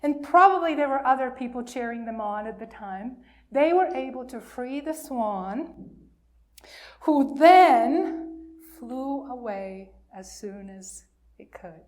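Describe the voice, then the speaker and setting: A woman with a fairly high voice speaks at a very slow pace, as a minister giving a storytelling message for all ages. She leaves pauses and asks her listeners questions as she goes. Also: lively intonation, a little mellow. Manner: questioning, pausing, inviting listeners to answer.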